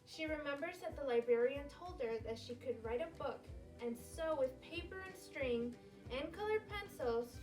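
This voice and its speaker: High-pitched woman reading a children's story. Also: moderate pace, far from the mic, music in the background, rough recording. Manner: animated, clearly phrased storytelling for young children. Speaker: teacher reading a picture book aloud. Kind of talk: expressive children's story read-aloud.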